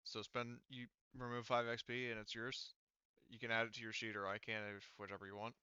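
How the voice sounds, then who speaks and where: Bright, clear-voiced man running a game. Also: mid-range voice, flat delivery, fairly quick, no echo, dull audio. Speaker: game master. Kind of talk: casual tabletop game rules talk.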